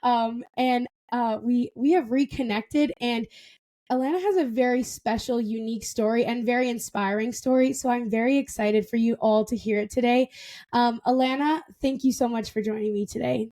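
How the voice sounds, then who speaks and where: A woman's voice: on the high side, normal speed, warm tone. Enthusiastic young woman hosting a podcast. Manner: enthusiastic, repeats words for emphasis, laughs often. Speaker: podcast host. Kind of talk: casual podcast interview.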